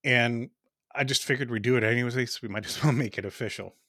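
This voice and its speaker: A man hosting a podcast in casual chat, with a smooth voice and a rambling, laughing way of talking. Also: medium pitch, quick pace, pushed and bright, no room sound, studio quality. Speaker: podcast host.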